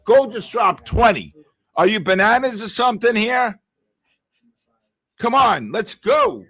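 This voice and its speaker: Loud wisecracking Bronx man. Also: sits high, sing-song, a little slow, gravelly, slightly rough. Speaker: trading show host. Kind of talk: excited live market commentary.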